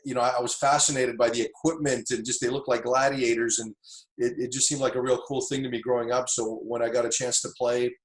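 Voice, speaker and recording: A middle-aged man from Tanzania, a football coach, telling stories about his start in the game in a relaxed, reflective way, with plenty of fillers and restarts. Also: medium pitch, fast, roomy sound, rough recording. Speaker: football coach, interview guest. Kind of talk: casual interview storytelling.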